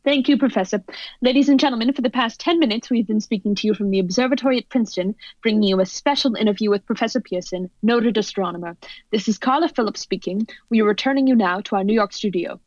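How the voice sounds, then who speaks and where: A woman playing a poised radio news commentator in an old-time radio drama, speaking in a formal, measured announcer style. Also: mid-range voice, a little mellow, no echo, close to an everyday voice. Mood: formal, composed. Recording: dull lo-fi audio.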